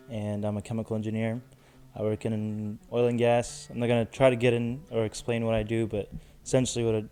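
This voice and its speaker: A man in his twenties, a podcast guest speaking in a measured, reflective way with many "you know"s. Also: fairly low pitch, dark tone, clear, resonant tone. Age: 20s. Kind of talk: casual chat.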